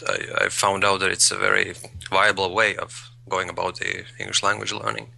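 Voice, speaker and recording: A man's voice: low voice, level tone, moderate pace, creaky, bright, forceful tone. A man, a guest in an interview, speaking thoughtfully and repeating words as he searches for phrasing. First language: Latvian. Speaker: guest, English learner. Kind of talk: conversational interview.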